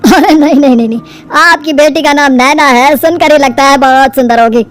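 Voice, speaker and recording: A woman's voice: high-pitched, brisk, natural voice. A woman voicing a fussy, greedy middle-aged father-in-law in a storybook tale. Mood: ingratiating. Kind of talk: animated storybook dialogue. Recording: music under the dialogue.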